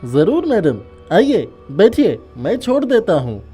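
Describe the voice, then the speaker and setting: Man playing a slow, swooping, sly taxi driver. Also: sits high, wildly swooping pitch, warm tone, faint music behind, heavily acted. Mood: oily politeness. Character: lecherous, smooth-talking male taxi driver. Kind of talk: dramatised horror story dialogue.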